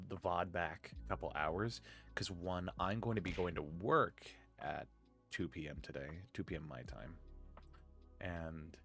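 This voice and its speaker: A man hosting a live stream in casual chat, groggy from just waking up and rambling in a low-key way. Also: fairly bright tone. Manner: rambling and low-key, with many filler words. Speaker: streamer and podcast host.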